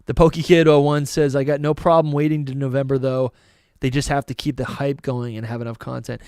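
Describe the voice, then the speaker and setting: A man's voice: mid-range voice, little pitch movement, brisk, a little mellow, clean tone, dry recording, studio quality. A young man with a New England accent, casually co-hosting a podcast livestream and reading viewer comments. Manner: laid-back, reads viewer comments aloud. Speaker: podcast host.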